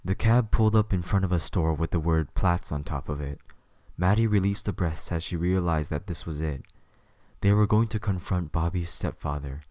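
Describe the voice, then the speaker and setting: A man's voice: low voice, normal speed, mellow, dry recording. A man reading scripted third-person story narration as the narrator of an audio drama.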